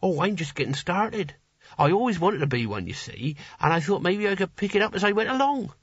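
An eager young would-be hero from a comic fantasy audiobook, acted out by a man. His voice sits high and moves about animatedly. He is polite, earnest and a touch tentative, with a husky edge. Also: sing-song, brisk, no echo, dull audio, exaggerated voice. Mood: hopeful. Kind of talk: audiobook character dialogue.

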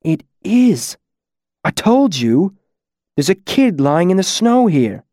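A man playing a kindly, excitable man in a demon mask, emphatic and concerned, in an audiobook story. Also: high voice, normal speed, no room sound, clean studio sound, voice pushed up. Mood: excited.

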